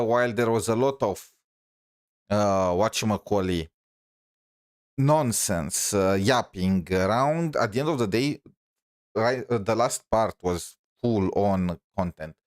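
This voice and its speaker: A man's voice: fairly low pitch, no room sound, clean studio sound. A man giving casual live gameplay commentary as a streamer, talking in an informal, rambling, hesitant way. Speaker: game streamer.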